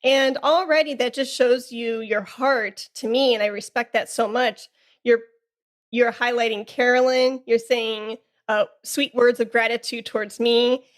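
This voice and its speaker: A woman's voice: a little high, steady tone. A woman hosting a podcast interview, who gushes and thanks her guest effusively. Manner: effusive, quick to gush and thank. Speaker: podcast host.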